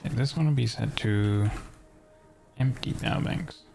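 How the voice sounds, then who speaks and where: A man's voice: medium pitch, normal speed, creaky, a little mellow, soft background music. A man streaming himself playing a game, muttering his moves to himself with little sung filler sounds. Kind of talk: casual gameplay commentary.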